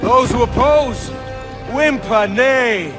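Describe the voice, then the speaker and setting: A man's voice: very high-pitched, lively intonation, slow, heavily acted. A man playing a theatrical, grandiloquent pirate leader addressing his crew in a video game cutscene. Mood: rousing. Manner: grandiloquent, oratorical. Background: music.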